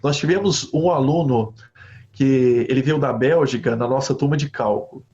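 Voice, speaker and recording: A man from Brazil, a university professor, answering interview questions with animated stories, often ending phrases with a questioning tag. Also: mid-range voice, normal speed. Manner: animated storytelling with frequent "né?" tags. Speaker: guest professor. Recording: slightly dull lo-fi audio.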